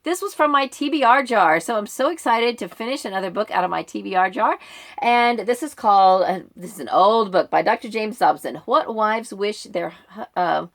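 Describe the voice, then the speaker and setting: A woman's voice: mid-range voice, normal speed, fairly bright tone. A middle-aged American woman giving a casual, conversational reading update to viewers in an informal, rambling way. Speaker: book vlogger and book club member.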